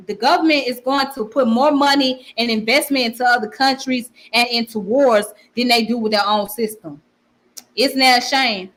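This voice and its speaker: Southern-accented woman hosting a live chat, slightly high voice, repeats herself. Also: a bit bright. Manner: repeats phrases, talks to viewers and reads comments. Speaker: channel host. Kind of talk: casual livestream commentary.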